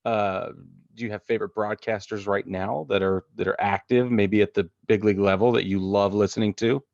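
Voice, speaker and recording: Lowish male podcast host, dull dry sound. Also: steady tone, brisk, vocal fry, darkish tone, dry recording. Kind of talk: casual sports podcast chat. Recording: dull, lo-fi close-up audio.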